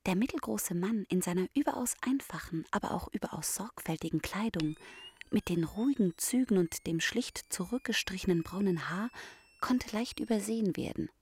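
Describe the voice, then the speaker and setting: An actress playing a quiet, reflective woman giving literary narration in a measured, hushed manner for a radio play. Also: medium pitch, lively intonation, husky.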